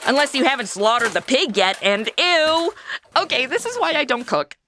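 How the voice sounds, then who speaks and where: A woman plays a snarky modern city woman who cracks wise in the Old West. Her high, bright voice leaps all over the place, close and dry. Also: normal speed, a little hiss or hum. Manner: snarky deadpan quips. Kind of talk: comedy audio drama acting.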